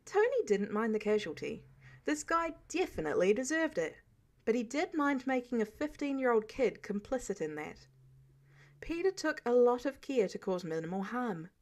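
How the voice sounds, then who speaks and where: A woman's voice: on the high side, very animated, normal speed. A woman reading a story aloud as scripted audiobook narration. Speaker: amateur audiobook reader.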